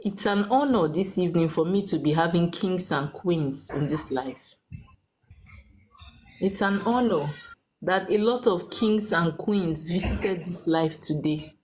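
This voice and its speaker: A woman's voice: on the low side, measured pace, darkish tone. Woman hosting a noisy livestream. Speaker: livestream host giving spiritual consultations. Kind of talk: live call-in chat with followers.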